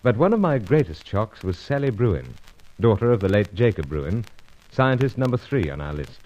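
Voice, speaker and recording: An actor playing a gallant, stubborn British secret agent in an old radio adventure serial, with clipped gentlemanly speech and a British accent. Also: male voice, on the low side, big pitch swings, normal speed. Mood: grim, dramatic. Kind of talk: old-time radio adventure serial acting and first-person narration. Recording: dull, muffled old radio recording.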